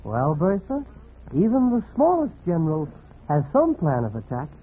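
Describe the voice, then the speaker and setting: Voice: mid-range voice, wildly swooping pitch, normal speed, a little hiss or hum. An actor playing a wry, scolding commentator in 1940s radio comedy, sardonic and admonishing. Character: wry scolding commentator chiding a domineering wife. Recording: muffled 1940s radio recording.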